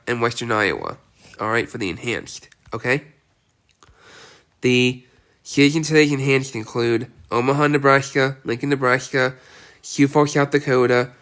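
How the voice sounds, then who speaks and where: A man's voice: medium pitch, fairly bright tone. A man with a congested, stuffy voice from a cold, presenting a weather outlook and repeating each point with frequent "all right" and "okay". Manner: repetitive, reads city lists with frequent "all right" and "okay". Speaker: weather forecaster. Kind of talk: amateur weather outlook video.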